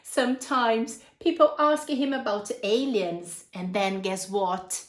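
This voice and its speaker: A woman's voice: fairly high pitch, slow pace, echoey room. A woman reading a picture book aloud to young children, expressively, with asides and questions addressed to her listeners.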